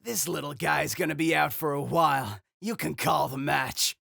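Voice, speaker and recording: A man voicing a gruff, brash young male ninja with a dog-like edge, yelling his lines. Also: fairly high pitch, steady tone, normal speed, no room sound, soft background music, voice pushed up, heavily acted. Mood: brash battle shouts. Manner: shouting, brash.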